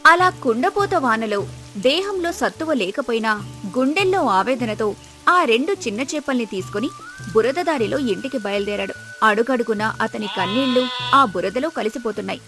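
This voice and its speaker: Female narrator for a moral tale, high voice, scripted delivery over a music bed. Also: lively intonation, normal speed, bright, forceful tone. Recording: music behind the voice.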